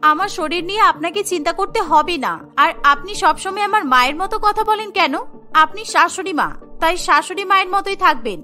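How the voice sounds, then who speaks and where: A woman voicing a haughty, irritable young daughter-in-law in a cartoon, with a sharp, defiant way of talking. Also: very high voice, animated, a little fast, soft background music, heavily acted. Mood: angry. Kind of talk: Bengali cartoon voice acting.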